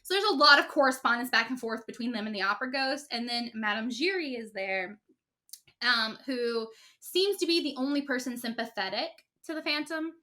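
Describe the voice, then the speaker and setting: Bright, high chatty woman. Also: fairly quick. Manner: rambling, many fillers, acts out quotes. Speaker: podcast co-host. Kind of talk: casual chat retelling a story.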